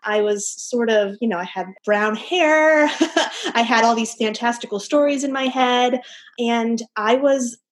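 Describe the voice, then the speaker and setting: A woman's voice: on the high side, unhurried. Laughing woman guest on a podcast. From United States. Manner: warm, laughing, self-deprecating. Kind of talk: casual podcast chat.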